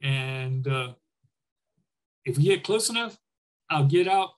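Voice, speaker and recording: A man's voice: medium pitch, expressive, slow pace. An older man, a military veteran, recalling his war service in an informal storytelling way, often voicing remembered dialogue. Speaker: military veteran, former Army air traffic controller, interviewee. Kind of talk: conversational war reminiscence.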